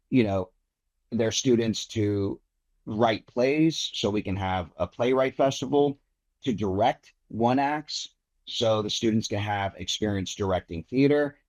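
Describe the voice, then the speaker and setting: A man's voice: fairly low pitch, a little mellow, lo-fi sound. A young man from Miami, an actor giving earnest interview answers with many fillers and restarts. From Miami, United States.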